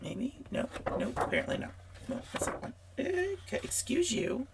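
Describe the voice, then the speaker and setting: A woman hosting a scrapbooking vlog, hoarse from a cold and losing her voice, chatty and rambling as she talks straight to her viewers. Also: high voice, big pitch swings, moderate pace, background noise. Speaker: scrapbooking channel host. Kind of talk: casual craft supply walkthrough.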